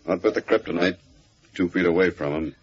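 Confident crime boss, old radio. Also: male voice, low-pitched, brisk, husky. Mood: confident, dismissive. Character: burly male crime boss, confident and ruthless. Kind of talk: 1940s radio serial acting. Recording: dull old radio recording.

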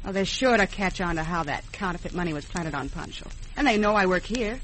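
A woman's voice: fairly low pitch, a little fast, fairly bright tone, lightly acted. An actress playing a cool, bossy female gang leader in a radio western, curt and commanding, with an American accent. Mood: cold, plotting. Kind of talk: 1950s radio western acting. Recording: noisy old radio show recording.

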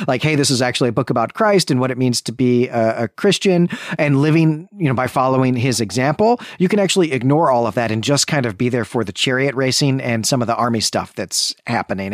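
A man's voice: mid-range voice, no echo, studio quality. A man co-hosting a podcast, discussing books in a casual, conversational manner. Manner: informal, conversational. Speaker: podcast co-host.

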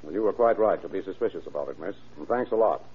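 A calm police lieutenant in a vintage radio crime drama. His voice is low and husky, his questions measured and polite. The recording is dull and old. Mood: businesslike. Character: calm, probing male police lieutenant on a case.

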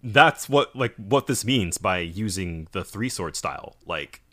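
A man co-hosting a casual podcast, enthusiastic and quick to laugh. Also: deep voice, lively intonation, sharp tone. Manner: enthusiastic, laughs often, does goofy quote voices. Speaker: podcast co-host.

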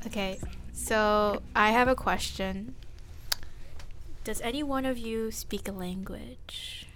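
A woman's voice: medium pitch, slow, a bit bright, slight background noise. A young woman hosting a casual student podcast, chatty and often restarting her sentences. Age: young adult. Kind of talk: casual classroom-style podcast chat.

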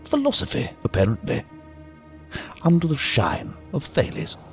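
A man's voice: a little high, huge pitch swings, normal speed. An actor in an audio drama playing an odd, courteous, philosophical old man, speaking in a formal, ornate, theatrically sing-song way. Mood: whimsical, genteel. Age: older. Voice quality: creaky, gravelly, breathy at times. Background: music.

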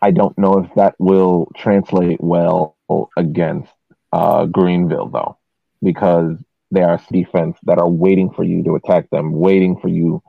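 A man's voice: low-pitched, gravelly, no echo. A man co-hosting a soccer podcast, giving casual tactical analysis over a narrow phone-like connection.

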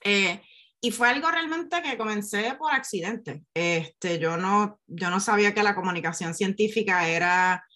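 A woman's voice: medium pitch, expressive, a little slow, bright, forceful tone. A Puerto Rican woman in her forties, a neurobiologist being interviewed, talking in a reflective way with many fillers and restarts. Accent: Puerto Rican Spanish. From Puerto Rico. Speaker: guest, neurobiologist and science communicator. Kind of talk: casual interview conversation.

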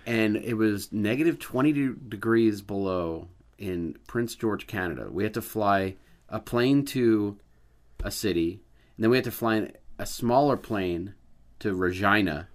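A man's voice: on the low side, a little slow. A man in casual movie-podcast chat, telling a rambling anecdote and swearing freely.

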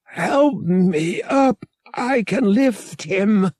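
A man voicing a devoted female alien hunter who talks softly and emotionally to her mate in an audiobook. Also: sits high, expressive, drawn-out words, dry recording, studio quality, pitched above the performer's own voice, exaggerated voice. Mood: urgent. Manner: soft, breathy, emotional murmuring.

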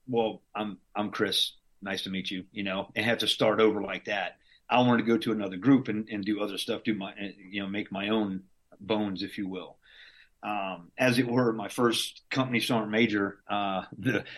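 Laid-back middle-aged man, lowish voice. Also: a bit bright. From Southern Louisiana. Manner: rambling, frequent restarts and laughs. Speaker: interview guest, Green Beret veteran. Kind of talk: casual conversational storytelling.

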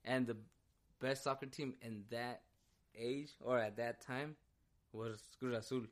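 A man's voice: mid-range voice, measured pace. Laid-back man co-hosting a chat podcast. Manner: relaxed, loose, says "yeah" and "dude" a lot. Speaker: podcast co-host. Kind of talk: casual chat.

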